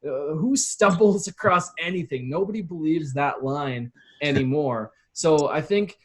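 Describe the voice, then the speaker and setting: Man, fairly high voice, interview guest, casual explanatory talk, conversational. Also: measured pace. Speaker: interview guest, copywriting expert.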